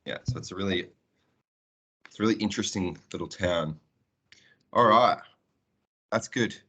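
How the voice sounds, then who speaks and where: Very deep-voiced man teaching. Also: moderate pace, a bit bright. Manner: friendly, chatty, jokes with students. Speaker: English teacher. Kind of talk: online class roll call.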